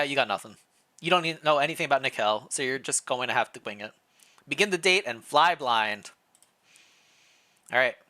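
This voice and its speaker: A man with a bright, punchy voice in a middle register hosts a casual livestream. He moves between story narration read aloud and playful, think-aloud chat. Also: mid-range voice. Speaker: streamer, host reading fan fiction.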